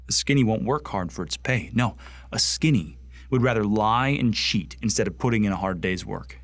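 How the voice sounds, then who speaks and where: An actor playing a bitter, zealous young Martian recruit in a science fiction radio play, speaking with earnest, deliberate contempt. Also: male voice, fairly low pitch, no echo, close to an everyday voice. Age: young adult. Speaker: actor in an audio drama.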